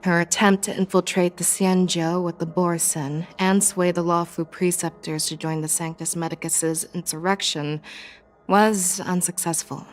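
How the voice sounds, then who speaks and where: A self-assured, strategic woman general in a fantasy game. She speaks in a slightly low voice with formal, calculating poise. Faint music accompanies her. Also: darkish tone. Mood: analytical. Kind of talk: scripted video game dialogue.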